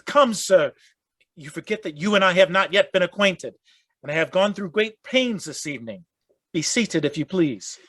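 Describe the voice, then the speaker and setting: Fussy gentleman doctor, fairly high voice, formal, bewildered, easily alarmed, gothic radio drama. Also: animated, moderate pace. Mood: fussy, uneasy.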